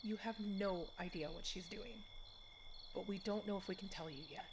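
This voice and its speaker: A woman playing a guarded mother who speaks quietly and suspiciously. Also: mid-range voice, moderate pace, background noise, natural voice. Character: guarded mother Nicole, a lawyer.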